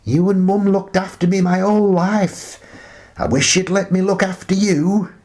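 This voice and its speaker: A man's voice: high-pitched, steady tone, normal speed, a little mellow, pitched above the performer's own voice. A man voicing a caring, exasperated grown-up daughter who scolds affectionately, in a radio play. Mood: pleading. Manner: fussing, pleading, affectionately scolding.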